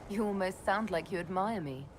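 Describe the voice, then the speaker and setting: An actress playing a modest, composed heroine and leader in a video game, sincere and understated with a dry touch. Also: female voice, medium pitch, brisk, a little hiss or hum, natural voice. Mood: calm, sincere. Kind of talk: dramatic video game dialogue.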